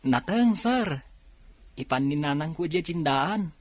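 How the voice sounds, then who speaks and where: A hardworking elder brother from a poor village in a radio drama. His voice sits a little high for a man. He is sincere, dutiful and respectful. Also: expressive, a little slow, dry recording. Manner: earnest, respectful.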